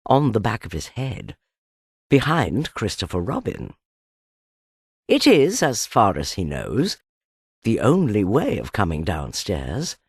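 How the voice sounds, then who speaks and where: A woman with a husky voice narrating a children's storybook in a theatrical, deliberate, expressive way. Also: very deep voice, no room sound, studio quality. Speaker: audiobook narrator.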